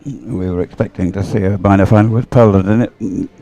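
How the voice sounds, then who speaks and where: A man giving live race commentary in a low, very mellow voice. He thinks aloud in a hesitant, slightly puzzled way as he follows the boats. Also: fairly even intonation, a little fast, soft background music. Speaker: sports commentator.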